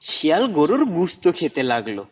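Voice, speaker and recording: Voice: mid-range voice, sing-song, fairly quick, husky. A narrator reading a scripted children's animal story for a cartoon.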